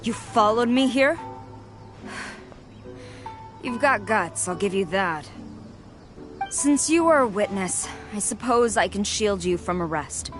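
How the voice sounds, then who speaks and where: A woman's voice: mid-range voice, animated, slow pace, long pauses, faint music behind. A woman playing a stern, commanding warrior officer in a video game, with a clipped, authoritative manner. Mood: stern, measured.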